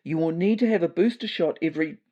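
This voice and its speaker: A woman's voice: lowish voice, quick pace, warm tone, muffled recording, close to an everyday voice. A woman with a New Zealand accent voicing an earnest, tentative male cat who explains things in formal, bureaucratic language. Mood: earnest, official.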